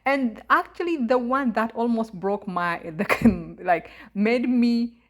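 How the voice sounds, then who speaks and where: A young woman with a thick East African accent, talking in a casual monologue, indignant and halting, with many fillers and restarts. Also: a little high. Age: young adult. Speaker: YouTube commentator.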